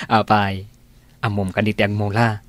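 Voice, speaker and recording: A man's voice: fairly low pitch, flat delivery, slow pace, dry recording. A man acting the part of a reluctant, good-natured young adult son in an Ilocano radio drama. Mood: teasing.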